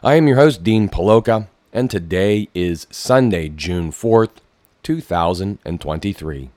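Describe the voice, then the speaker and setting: Deep, froggy-voiced male radio host. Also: expressive, measured pace, darkish tone, no echo. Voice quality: froggy, low voice. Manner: formal announcer delivery with light self-deprecating asides. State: hoarse from a cold. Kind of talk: bilingual community radio announcing.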